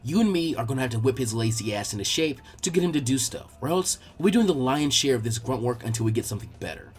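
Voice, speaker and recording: A man's voice: medium pitch, big pitch swings, quick pace, breathy edge, soft background music, pitched below the performer's own voice. A man voicing a bossy young girl ninja who scolds in a firm, nagging way. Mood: conspiratorial.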